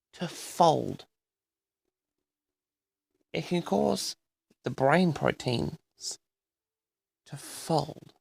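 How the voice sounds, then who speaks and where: Male solo audio-log host. Very gravelly, swinging intonation, halting monologue addressed to listeners, dry close sound. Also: on the high side, no echo. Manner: halting, pausing often, repeatedly addresses the audience. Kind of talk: rambling solo monologue to an audience.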